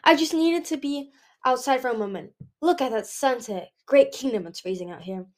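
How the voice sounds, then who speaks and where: A young female dragon from a storybook, performed by a woman, bubbly and rebellious. The voice sits high and swings about with cheeky, dramatic exclamations. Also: expressive, normal speed. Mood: restless, dramatic.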